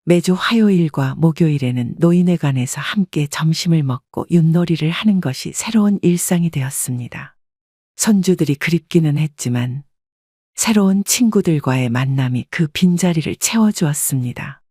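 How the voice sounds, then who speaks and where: A woman calmly narrating a first-person life story. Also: deep voice, moderate pace, breathy edge, no room sound, studio quality.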